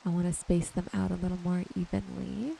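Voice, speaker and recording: A woman narrating her gameplay in an ASMR style, with a gentle, soothing manner. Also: lowish voice, little pitch movement, gravelly, slight background noise, low-quality recording. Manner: gentle, unhurried, soothing narration of own actions. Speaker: host of a gaming channel. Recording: music behind the voice. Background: music.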